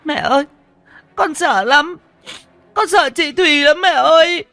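Man voicing a shrill, tearful little boy. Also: fairly even intonation, a little fast, fairly bright tone, dry recording, faint music behind. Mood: terrified. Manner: crying, shrill.

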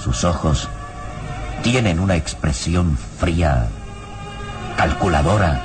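A man's voice: low-pitched, expressive, slow pace, music in the background. A man narrating a radio drama serial in a dramatic, scripted style.

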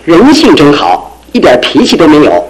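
情绪高昂的痴情小职员，音调起伏很大. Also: male voice, a little high, normal speed, poor audio. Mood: bashful, chatty. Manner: animated, exclamatory, chuckling delivery. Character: excitable, lovestruck office clerk, cheerful and boastful. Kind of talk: Chinese radio drama acting.

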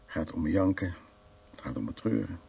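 A man playing Louis, who recounts his troubled past in a Dutch radio play, halting and acting out the remarks of others. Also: low voice, expressive, gravelly, dark tone, breathy edge, full character voice. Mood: brooding, anguished. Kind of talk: Dutch radio play, intimate dramatic monologue and dialogue.

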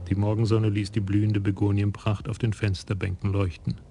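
A man narrating a crime radio play in an even, measured way. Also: fairly low pitch, a little hiss or hum.

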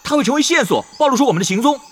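A man acting in an audio drama as a cocky, talkative young gamer who chatters excitably and nags. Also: extremely high pitch, full character voice. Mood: indignant. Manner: chatty, rapid-fire, repeats himself.

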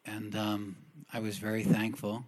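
Pastor preaching in short, paced phrases. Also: male voice, lowish voice, completely flat delivery, a little mellow. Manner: speaks in short phrases, pausing for the interpreter. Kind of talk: sermon delivered in English with live interpretation.

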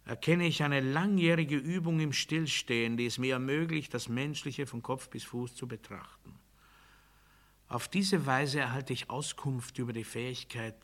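An actor playing a coldly pedantic, grandiose prisoner in a literary radio play monologue, speaking with precise, officious declamation. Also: male voice, medium pitch, bright, forceful tone, close to an everyday voice.

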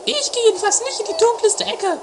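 A woman's voice: very high voice, brisk, noisy background. A woman playing a naive, chatty, argumentative sister in an amateur eighties comedy radio play. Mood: naive musing.

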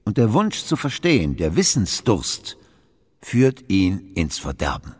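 An actor in a radio play as a weary, brooding philosopher, terse and ponderous. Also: male voice, fairly low pitch, wildly swooping pitch, unhurried, a little mellow, husky, big-room sound, full character voice. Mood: reflective. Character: brooding, weary foreign philosopher at a northern court.

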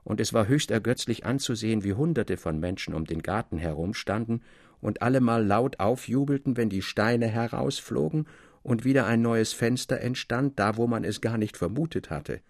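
A man narrating a classic literary tale in a measured, articulate way with expressive emphasis. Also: medium pitch, no echo, clean studio sound.

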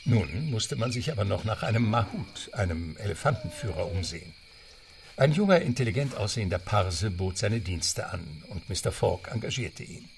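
A man's voice: lowish voice, animated, normal speed, vocal fry, distant mic, slight background noise. A man narrating a radio play in a literary storytelling style.

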